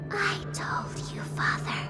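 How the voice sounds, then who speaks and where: An actress playing a vengeful young female vampire in a game cutscene, with drawn-out, theatrical menace. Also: whispered, lightly acted. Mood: cold, sinister. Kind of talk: dramatic video game cutscene acting. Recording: loud music behind.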